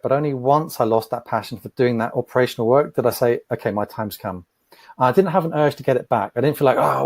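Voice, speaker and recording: A man's voice: mid-range voice, a little mellow, dry recording. Entrepreneur guy on a podcast, thoughtful, kinda stutter-starts, dry no-room sound. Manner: thoughtful, measured, repeats words as he starts. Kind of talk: interview answers.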